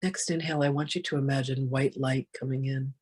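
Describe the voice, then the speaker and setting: A guided meditation in a soft, breathy voice that stays on an even, narrow pitch. The delivery is gentle and soothing, with unhurried phrases and long pauses between them.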